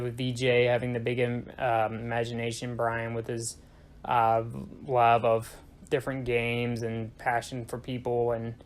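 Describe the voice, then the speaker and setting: A young man hosting a gaming channel, talking casually about its plans with lots of fillers and self-corrections. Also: mid-range voice. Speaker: YouTube gaming channel host. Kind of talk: casual channel intro talk.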